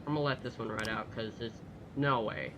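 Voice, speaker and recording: A man's voice: on the low side, fairly quick, lo-fi sound, background noise. A man giving casual gameplay commentary as a video host, muttering to himself, swearing and reading text aloud.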